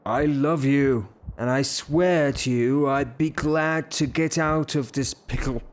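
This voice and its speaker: An actor playing a blustering, lovestruck, hot-tempered gentleman landowner in an audio drama, with a halting, theatrical delivery. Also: medium pitch, natural voice. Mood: exasperated. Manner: theatrical, halting, repeats himself.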